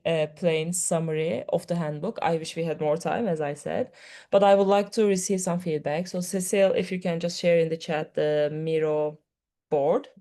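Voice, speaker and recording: A woman's voice: fairly low pitch, warm tone. A woman whose first language is Turkish, giving a conversational conference presentation with frequent fillers. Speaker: workshop presenter.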